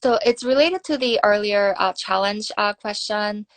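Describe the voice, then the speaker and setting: A woman's voice: medium pitch, moderate pace, no echo. A woman with a Korean accent, a native Korean speaker, answering interview questions as a guest on a video call, thoughtful with many ums and uhs. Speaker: guest, nuclear security fellow.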